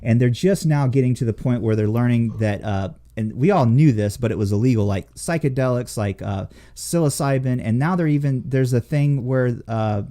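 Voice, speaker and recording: A man with a mellow, relaxed tone hosts a casual talk show. He speaks bluntly and rambles, swearing freely as he goes. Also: mid-range voice, moderate pace, few pauses. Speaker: podcast host. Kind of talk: casual chat, profanity-laden.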